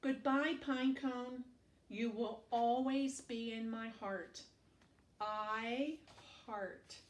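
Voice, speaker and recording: A tender, curious little penguin from a children's storybook, acted out by a woman reading aloud. The voice sits high and is expressive, like a devoted young friend. It sounds roomy, away from the mic. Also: extremely slow, distant mic.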